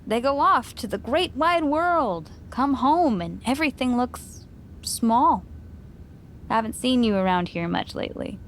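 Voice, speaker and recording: A wry, teasing old friend. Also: female voice, on the high side, animated, a little slow, a little hiss or hum. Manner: teasing, dry sarcasm. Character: wry woman friend and gym owner. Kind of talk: game cutscene dialogue.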